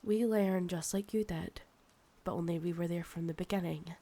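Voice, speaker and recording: A woman's voice: fairly low pitch, steady tone, dark tone. A woman with a Scottish accent playing a possessive, proud, seductive ancient vampire in an intimate ASMR roleplay, teasing and condescending. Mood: haughty, explaining. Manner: slow, teasing, condescending, calls listener darling.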